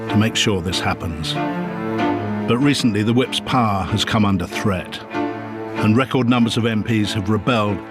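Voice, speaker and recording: Male documentary narrator over loud music. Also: fairly low pitch, normal speed. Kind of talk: scripted television report narration. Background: loud background music.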